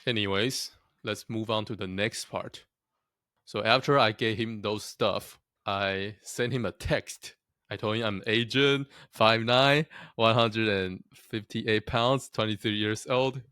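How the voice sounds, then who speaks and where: A man's voice: fairly low pitch, takes it slowly, pushed and bright, smooth, no room sound, studio quality. A young man from Taiwan talking casually to his viewers, chatty and self-deprecating, often laughing at himself. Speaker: vlogger. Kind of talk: casual talk to camera.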